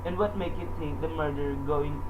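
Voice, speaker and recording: Man acting a high-voiced, dismissive police sergeant. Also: moderate pace, natural voice. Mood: patronizing, dismissive. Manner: patronizing, matter-of-fact, placating. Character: unruffled, dismissive big-city police desk sergeant. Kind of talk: old-time radio drama acting. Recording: dull lo-fi audio with hiss.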